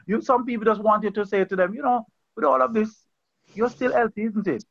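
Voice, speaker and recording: Earnest lay preacher, man, high pitch, conversational and repetitive, muffled call audio. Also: fairly even intonation, fairly quick, gravelly, no echo. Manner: earnest, conversational, repeats phrases and restarts. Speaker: pastor leading an online Bible study. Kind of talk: informal sermon on a video call. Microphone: video call.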